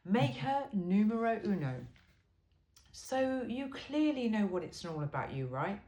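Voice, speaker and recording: Female amateur reader, medium pitch, wry conversational delivery with emphasis, recorded in an echoey room. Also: big pitch swings, a little slow, warm tone. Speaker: amateur reader of a humorous self-help book. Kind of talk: informal book read-aloud with chatty asides. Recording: roomy, echoey sound.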